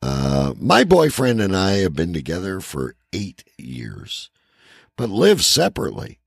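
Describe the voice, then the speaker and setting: A man's voice: sits low, huge pitch swings, measured pace, gravelly, husky, dry recording, clean studio sound. A man co-hosting a podcast in casual banter and letter reading, with a blunt, profane way of talking. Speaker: podcast co-host.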